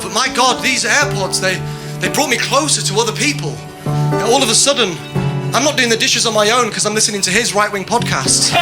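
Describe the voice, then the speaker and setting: A man performing a deadpan stand-up set, breaking off to restart his bits. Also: high-pitched. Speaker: stand-up comedian. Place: comedy club stage with audience.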